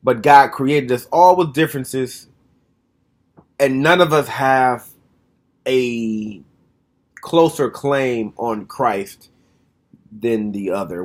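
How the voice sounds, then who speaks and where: Thirties male, average pitch, candid and thoughtful, talking to camera at home. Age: 30s. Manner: frank, plainspoken, thoughtful. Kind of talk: casual reflective monologue to camera.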